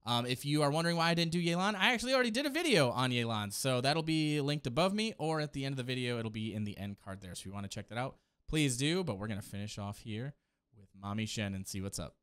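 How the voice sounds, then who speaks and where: A man's voice: mid-range voice, lively intonation, quick pace, fairly bright tone, clear, resonant tone. A man in his thirties giving casual, enthusiastic reaction commentary into a close studio mic. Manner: enthusiastic, exclamatory. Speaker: streamer reacting to game trailers. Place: small home studio room. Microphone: close boom studio mic. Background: game trailer music.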